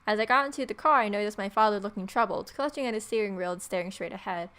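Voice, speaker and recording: A young adult woman hosting a let's play, reading visual novel narration aloud in an irreverent, expressive way. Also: mid-range voice, fast, smooth. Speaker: gaming video host.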